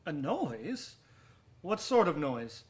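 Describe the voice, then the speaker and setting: An actor in a radio drama playing an over-polite, nervous murderer with a genteel, halting way of talking. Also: male voice, fairly high pitch, sing-song. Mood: nervous politeness. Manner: genteel, overly courteous, halting. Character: nervous, over-polite male murderer hiding guilt from police.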